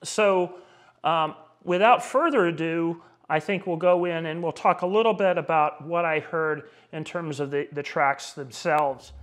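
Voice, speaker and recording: Male reviewer, middle-aged, slightly high voice, conversational and halting as he talks through audio gear. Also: a bit bright.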